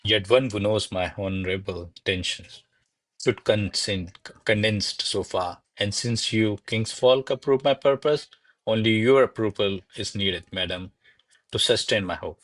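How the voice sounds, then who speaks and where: An actor playing courtly men of a classical comedy in a radio play, with formal, florid theatrical delivery. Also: male voice, lowish voice, unhurried, fairly bright tone, no echo. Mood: pompous, ardent. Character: courtly servants and grandiloquent noble suitor of classical Spanish comedy. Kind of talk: stage-style radio play acting.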